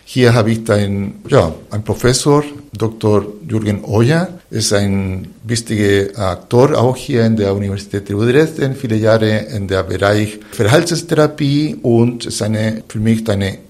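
A man with a foreign accent in German, a non-native speaker hosting a radio interview in halting, deliberate phrases. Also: on the low side, a little mellow. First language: not German. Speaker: radio show host.

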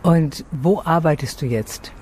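A man's voice: fairly high pitch, measured pace, a little mellow, slight background noise. A man narrating a short documentary report and interviewing a guest with short encouraging prompts.

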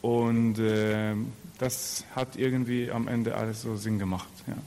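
A man's voice: fairly low pitch, little pitch movement, unhurried, background noise. A man from Israel with an Israeli accent, an actor answering interview questions in an informal, anecdotal way. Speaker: actor and theatre maker.

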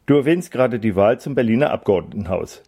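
A male radio host with a mellow, dark voice. He reads a scripted introduction and then asks interview questions at a measured, even pace. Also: mid-range voice, husky. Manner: measured, read-aloud delivery.

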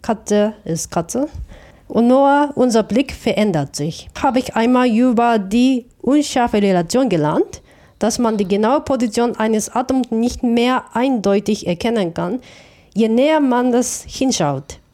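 Mellow, high-voiced Japanese-accented woman. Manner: thoughtful, halting with self-corrections. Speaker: interview guest, artist. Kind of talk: reflective interview answers.

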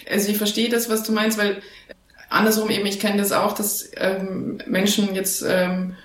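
A woman's voice: medium pitch, level tone, normal speed, reverberant. A woman interviewing a guest, thinking aloud with frequent fillers as she shapes her questions. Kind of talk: conversational interview.

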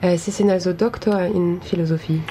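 A woman interpreting back and forth on a radio talk show, halting with frequent fillers and restarts. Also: on the low side, steady tone, mellow, slight background noise.

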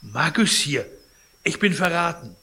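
A man voicing a shrill, feverish dying old collector. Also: lively intonation, normal speed, bright, forceful tone, full character voice. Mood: indignant. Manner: shrill, raised voice.

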